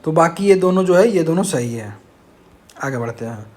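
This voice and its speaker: A man's voice: fairly high pitch, expressive, moderate pace, a little mellow. A man teaching an exam-prep lesson, reading out questions and explaining answers in a didactic, repetitive way. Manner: didactic, repeats key phrases.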